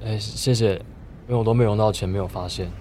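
A man acting a low-voiced, exasperated young office guy. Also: steady tone, fast talker, dark tone, slight background noise, natural voice. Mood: soft, sheepish. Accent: Taiwanese Mandarin. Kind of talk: radio drama acting with inner-monologue narration. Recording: roomy sound with guitar music behind.

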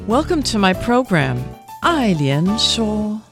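A woman's voice: mid-range voice, sing-song, slow, loud music behind the voice. A woman hosting a radio programme in a studio, interviewing guests in an enthusiastic, encouraging way. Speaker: radio show host.

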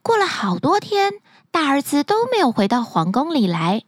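A woman narrating a children's bedtime fairy tale in an expressive, sing-song storytelling manner, recorded close to the mic. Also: sits high, normal speed, dry recording.

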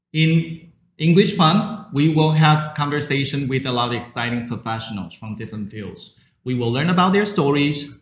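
A man, a professor hosting an English-learning podcast, giving clear, upbeat introductions and short follow-up questions. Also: medium pitch. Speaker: host, professor. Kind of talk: podcast interviewing. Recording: echoey room, faint background noise.

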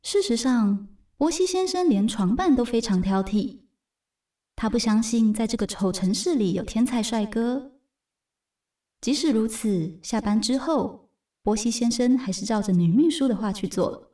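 A woman's voice: a little high, lively intonation, moderate pace, warm tone, recorded from a distance, natural voice. A woman giving wry, scripted narration for a radio drama.

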